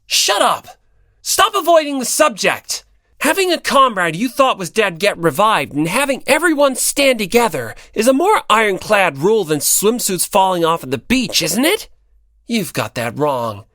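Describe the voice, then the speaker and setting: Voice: medium pitch, sing-song, moderate pace, pushed and bright, dry recording, clean studio sound, voice pushed up. A performer voicing an earnest, easily flustered, hot-headed teenage boy in an audiobook, with blustering, emphatic delivery. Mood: indignant, argumentative. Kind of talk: dramatic audiobook character dialogue.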